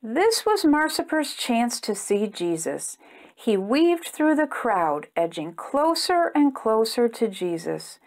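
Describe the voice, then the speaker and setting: A woman reads a children's storybook aloud. Her voice sits fairly high and moves with lots of melody. She takes her time, speaking expressively as a storytime host does for small listeners. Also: slow. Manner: expressive, simple phrasing aimed at young children.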